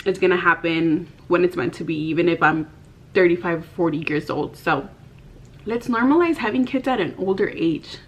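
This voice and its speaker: A woman's voice: on the low side, moderate pace, muffled recording. A woman of about thirty talking casually to the camera in her bedroom. She is candid and chatty and fills her talk with "like". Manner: chatty, candid, many "like" fillers. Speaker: vlogger. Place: bedroom, indoors. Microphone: camera in front, head and shoulders.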